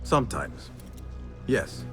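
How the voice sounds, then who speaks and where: An actor playing a haunted, brooding assassin in a video game, measured and guarded in manner. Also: male voice, soft background music, lightly acted. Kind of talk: dramatic video game acting.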